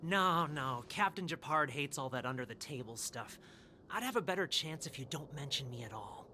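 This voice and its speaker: An actor playing a hesitant, easily cowed city guard in a video game, flustered and deferential with a stammering delivery. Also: male voice, medium pitch, lively intonation, normal speed, sharp tone, a little hiss or hum. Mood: nervous protest.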